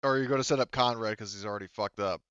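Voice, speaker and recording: A man acting as game master, casually narrating a tabletop game with halting, self-interrupting phrasing. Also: on the low side, fairly bright tone, smooth, no echo, highs cut off.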